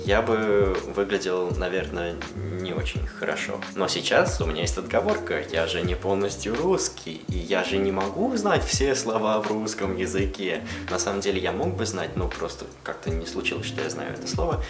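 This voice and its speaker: A young man casually answering viewer questions in a relaxed, chatty way. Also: sits low, normal speed, hardly any pauses. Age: young adult. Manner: relaxed, chatty, switches between Russian and English. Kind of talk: casual Q&A vlog talk. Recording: roomy echo with music behind.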